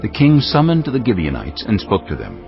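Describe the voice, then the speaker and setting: A man's voice: fairly low pitch, wildly swooping pitch, moderate pace, vocal fry. A man reading scripted narration for a dramatized audio Bible. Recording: dull band-limited audio with music bed.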